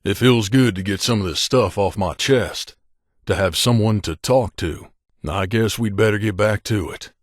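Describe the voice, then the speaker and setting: A man playing a guarded, earnest mercenary companion in a post-apocalyptic video game, speaking in a measured, confiding way. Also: lowish voice, dry recording, studio quality, lightly acted. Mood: opening up.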